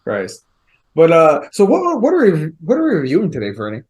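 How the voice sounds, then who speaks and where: Male podcast co-host, somewhat high voice, teasing, laughs a lot, swears. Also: wildly swooping pitch, brisk, darkish tone, dull audio. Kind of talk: casual chat.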